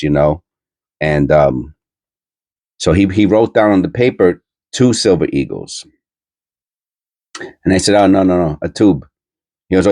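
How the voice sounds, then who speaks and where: A man's voice: sits low, darkish tone, no echo, clean studio sound. A middle-aged man with a New York accent, nasal from a cold, rambling bluntly with many fillers as host of a coin channel. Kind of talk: rambling casual monologue.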